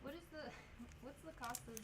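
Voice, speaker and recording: A woman on the shop staff asking brisk, practical questions during a livestream. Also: sits high, fairly bright tone, slight background noise.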